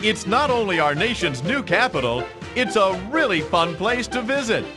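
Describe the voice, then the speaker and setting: A man's voice: sits high, big pitch swings, normal speed, over music. A man playing a friendly, salesmanlike TV commercial announcer for a game's parody advert. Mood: cheery.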